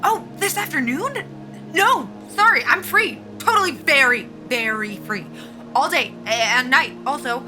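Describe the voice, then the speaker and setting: An actress playing an earnest, driven young military pilot at a job interview, halting and anxious, in a cinematic audio drama. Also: female voice, high voice, expressive, music in the background, poor audio. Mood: flustered, eager. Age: young adult.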